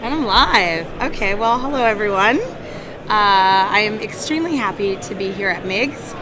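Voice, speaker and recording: A woman's voice: mid-range voice, lively intonation, a little slow, a crowd in the background. A woman in HR giving an earnest conference talk, with a scratchy voice, often repeating her words. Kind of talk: conference presentation. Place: conference hall.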